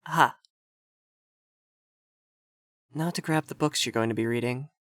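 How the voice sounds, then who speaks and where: A very dry, intimate recording of a man acting as an effeminate vampire lord in an ASMR roleplay. He is haughty and prim, giving orders to the listener with tsundere fluster. His voice sits at a medium pitch. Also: fast talker, a bit bright, no echo, natural voice. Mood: encouraging, teasing.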